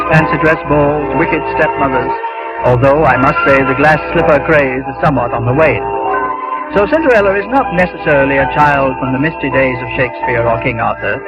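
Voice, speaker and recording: A man's voice: fairly high pitch, muffled recording. A man hosting a 1950s radio drama, reading a scripted introduction over music on an old broadcast recording. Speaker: radio anthology host.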